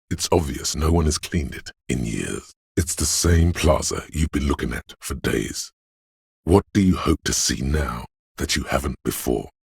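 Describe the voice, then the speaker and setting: Very deep, husky inner voice. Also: male voice, dry recording, studio quality, heavily acted. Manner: wry, observant, dryly teasing. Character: inner perceptive voice, observant adult male. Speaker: voice actor. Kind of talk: second-person game narration.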